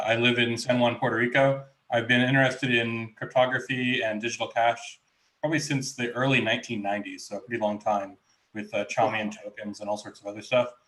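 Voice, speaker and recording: A man introducing himself as a panelist on an online panel, speaking in a steady, even way. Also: medium pitch, rough recording.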